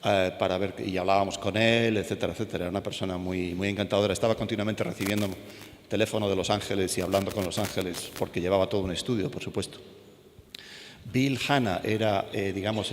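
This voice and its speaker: A man with a Castilian Spanish accent giving an informal talk to an audience, telling anecdotes and putting questions to his listeners. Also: low voice. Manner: conversational, puts questions to the audience. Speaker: animator, panel speaker. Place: school event hall with an audience. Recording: echoey hall, some background noise.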